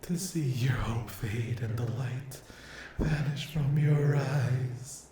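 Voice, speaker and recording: A man's voice: mid-range voice, lightly acted. A man voicing a cruel, possessive demon in an ASMR roleplay, murmuring and whispering breathily with sweet menace. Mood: possessive, gloating. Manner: breathy, drawn-out, sadistic, gloating endearments. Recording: roomy echo with clear background noise.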